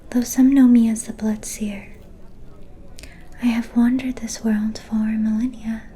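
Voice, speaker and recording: A woman's voice: fairly high pitch, dark tone, low-quality recording. A woman playing an ancient, cryptic vampire seer in an ASMR roleplay, solemn, portentous and mysterious in manner.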